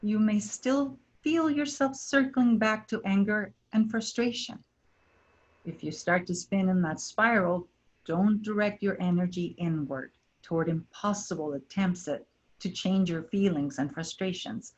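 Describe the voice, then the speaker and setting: A woman in a staged play reading as a wise, gentle river spirit, giving measured, earnest guidance. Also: medium pitch, natural voice. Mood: tender, encouraging. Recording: dull lo-fi recording.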